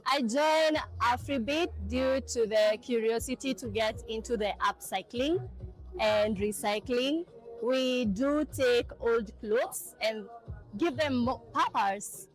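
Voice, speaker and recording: A woman explaining her work calmly in a documentary interview. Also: on the high side, slow, soft background music, poor audio.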